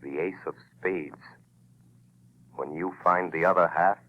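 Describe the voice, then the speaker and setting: Unseen avenger, ominous, taunting, drawn-out delivery, old radio mystery, narrow tinny sound. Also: male voice, deep voice, fairly even intonation. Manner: ominous, slow, mocking. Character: sinister unseen male avenger, a taunting voice of conscience. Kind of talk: 1940s radio mystery acting. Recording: thin band-limited old radio recording.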